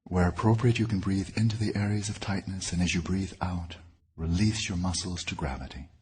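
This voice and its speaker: A man leading a guided meditation as a teacher, speaking in deliberate, measured phrases with pauses. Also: low-pitched, darkish tone, breathy edge.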